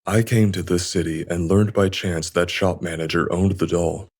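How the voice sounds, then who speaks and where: A man voicing a remorseful, fearful loner in a visual novel dub, speaking in a measured, earnest way as he explains himself. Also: low-pitched, steady tone. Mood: resigned, explaining. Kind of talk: visual novel English fan dub, dramatic dialogue. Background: music.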